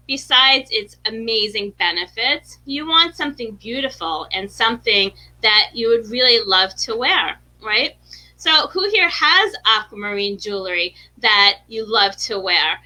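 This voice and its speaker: Bright-voiced New York woman hosting a live talk. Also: fairly high pitch, low-quality recording. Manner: chatty, keeps asking the viewers "right?". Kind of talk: live-streamed educational talk.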